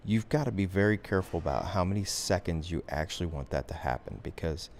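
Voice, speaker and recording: Low voiced guy walking thru a software tutorial, casual and chatty, bit of noise behind him. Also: expressive, quick pace, gravelly. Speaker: instructor. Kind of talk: software tutorial, talking through steps.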